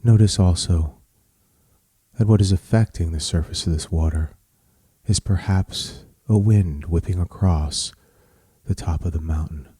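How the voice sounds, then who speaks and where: Very slow, deep-voiced man guiding a meditation. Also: mellow, husky, dry recording. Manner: measured, soothing, pausing between short phrases. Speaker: meditation guide. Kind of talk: guided meditation narration.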